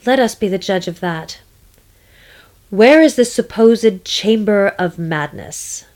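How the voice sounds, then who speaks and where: A woman playing a confident, commanding superheroine in a radio play, brisk and assertive with technical talk. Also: medium pitch, expressive, a little mellow. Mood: threatening.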